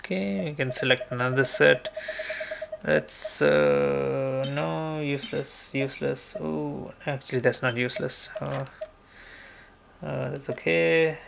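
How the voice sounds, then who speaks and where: A man's voice: mid-range voice, slow, creaky, noisy background. A man giving casual gameplay commentary as a streamer, muttering to himself. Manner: muttering to himself, mild swearing. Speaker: gaming streamer. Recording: music playing behind the voice. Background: music.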